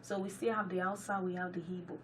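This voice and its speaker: A woman's voice: on the low side, little pitch movement, quick pace, distant mic, a little hiss or hum. A woman from Nigeria, whose first language is Yoruba, speaks English with a Nigerian accent as a guest professor in a radio interview. She answers agreeably, often echoing the host and saying yeah. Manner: agreeable, echoes the host's words, frequent yeah.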